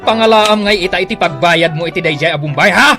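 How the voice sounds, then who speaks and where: Strict older brother telling people off, ilocano radio drama. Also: male voice, high-pitched, fast, over music. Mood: scolding. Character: stern, scolding elder brother.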